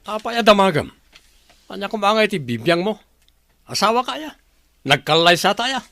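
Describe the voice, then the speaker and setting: Sharp, animated, callous Filipino boyfriend, acted by a man. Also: high voice, moderate pace, creaky, full character voice. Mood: angry, mocking. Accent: Ilocano Filipino. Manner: scornful, harsh, taunting. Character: callous, abusive, irresponsible boyfriend. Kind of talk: radio serial drama acting.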